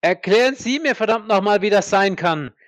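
A man's voice: high voice, animated, a bit bright, dry recording. A man playing a proud, defiant accused war veteran in an audio drama, indignant and emphatic. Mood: outraged. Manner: indignant, emphatic, halting when pressed. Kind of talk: dramatic audio play acting.

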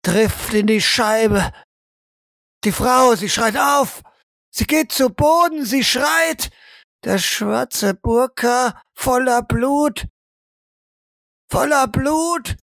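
A man's voice: very high voice, lively intonation, very slow, gravelly, long pauses, a bit bright, no room sound, clean studio sound, heavily acted. A man playing an intense military shooting instructor in an audio drama, talking in terse, commanding phrases. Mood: frenzied. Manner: terse clipped commands, drawn out for emphasis.

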